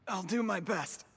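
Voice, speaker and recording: A man's voice: sharp tone, exaggerated voice. An actor playing an uneasy, deferential young man with an apologetic, hesitant way of speaking, in a video game. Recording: reverberant hall, faint rumbling noise.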